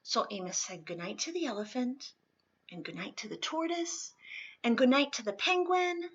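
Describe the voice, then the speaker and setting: A woman reading a children's storybook aloud expressively, with dramatic, drawn-out emphasis on words. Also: a little high, expressive, husky, muffled recording. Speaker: storybook reader.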